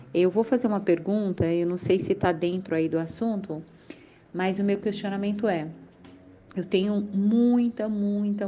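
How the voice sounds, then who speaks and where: A woman's voice: mid-range voice, dark tone, slight background noise. A woman, a caller leaving a friendly, chatty audio message. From São Paulo, Brazil.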